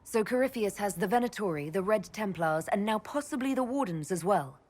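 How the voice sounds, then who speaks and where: An actress playing a composed, dutiful elf mage leader in a video game, speaking in a measured, dry, questioning way. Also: female voice, mid-range voice, expressive, lightly acted. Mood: weary, serious. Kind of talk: video game dialogue acting.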